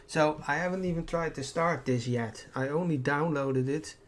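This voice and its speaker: A man's voice: fairly high pitch, normal speed, a little hiss or hum. A man casually commenting as he plays a video game, rambling and hesitant, often stopping to correct himself. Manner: rambling, hesitant, self-deprecating. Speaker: gaming YouTuber. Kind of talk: casual let's play commentary. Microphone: desktop mic over game audio. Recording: game music playing behind voice.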